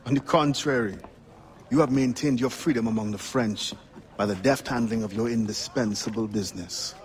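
An actor playing a steadfast pirate turned assassin with a Caribbean accent in a video game, speaking formally and with composure. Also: male voice, medium pitch, normal speed, lightly acted. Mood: respectful. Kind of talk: dramatic video game acting.

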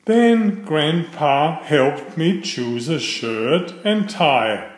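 Middle-aged man, children's storyteller, slow pace, animated intonation, simple phrasing, echoey room. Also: fairly high pitch. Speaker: children's book reader. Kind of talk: read-aloud bedtime storytelling. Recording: roomy, echoey voice recording.

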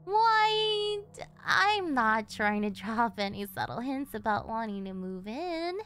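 A high-pitched yandere delinquent girlfriend, acted by a woman for an ASMR audio roleplay. She is clingy and doting, pleading sweetly with a possessive, threatening edge. Music plays behind her voice. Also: very animated, slow pace, fairly bright tone, clear, resonant tone, heavily acted. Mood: excited, coaxing. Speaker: voice actress.

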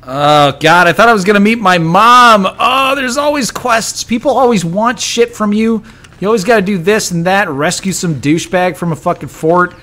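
A man's voice: high voice, normal speed. A man streaming a video game on a headset mic, joking and swearing in casual chat. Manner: animated, joking, swears freely.

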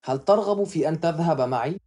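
A man's voice: fairly high pitch, lively intonation, racing, warm tone, breathy edge. A man reading out short scripted translations for a language lesson.

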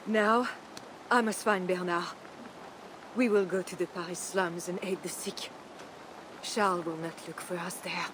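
A woman playing a devout, composed Frankish queen in a video game, earnest, formal and gently resolute. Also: on the low side, fairly even intonation, measured pace, long pauses, close to an everyday voice. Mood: earnest faith. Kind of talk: dramatic game cutscene acting. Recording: rain and ambient noise behind.

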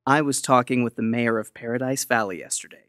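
An actor playing a dramatic, enthusiastic and clueless school principal in a radio play. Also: male voice, medium pitch, fairly quick, a bit bright, smooth, dry recording, studio quality, close to an everyday voice. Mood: pleased storytelling. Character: excitable, clueless, jokey high school principal, male.

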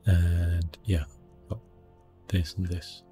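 A man giving soft, hushed ASMR gameplay commentary in a relaxed, unhurried manner. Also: low voice, soft background music. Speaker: gaming streamer, host.

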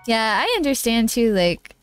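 Young woman gaming streamer, stuffy nasal voice, giggly, soft game music behind. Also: a little high, big pitch swings, normal speed. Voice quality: nasal, congested. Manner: giggly, bubbly, chatting with viewers. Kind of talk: casual live-stream chat while gaming.

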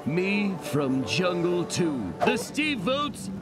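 A man's voice: high voice, wildly swooping pitch, slow pace, full character voice. An actor playing a vain, boastful, pompous musclebound cartoon villain king. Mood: smug, grand. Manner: boastful, pompous, speaks of himself grandly. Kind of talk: cartoon voice acting. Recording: loud cartoon music underneath.

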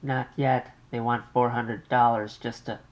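Male narrator playing a fretful middle-aged mother, pitched high and loud, insistent and pushy. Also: level tone, unhurried, close to an everyday voice. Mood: anxious. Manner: worried, insistent, interrupting.

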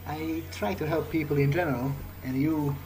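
A man giving in-character playthrough commentary on a game, talking conversationally in halting, hesitant phrases. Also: fairly high pitch, steady tone, darkish tone, poor audio. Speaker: gamer role-playing his character. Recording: game music behind the voice. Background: game music.

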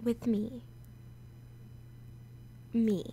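A woman playing a nervous, awkward, obsessively lovesick young woman in an intimate audio roleplay, halting and often slipping into whispers. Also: a little high, little pitch movement, dark tone, a little hiss or hum. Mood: incredulous, shy. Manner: halting, hesitant, breaking into whispers.

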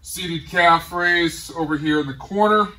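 Relaxed middle-aged man touring his garage gym. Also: fairly high pitch, unhurried, recorded from a distance, rough recording. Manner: relaxed, rambling, many ums. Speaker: home gym owner giving a tour. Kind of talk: casual walk-through tour. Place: large garage home gym.

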